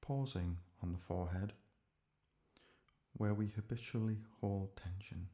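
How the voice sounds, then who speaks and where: A man gently leading a guided relaxation meditation in a calm, measured way, leaving pauses between short phrases. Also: on the low side.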